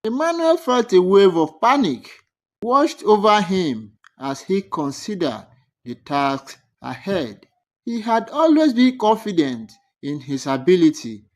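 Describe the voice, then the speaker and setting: A narrator reading a scripted moral folktale as a storyteller. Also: mid-range voice.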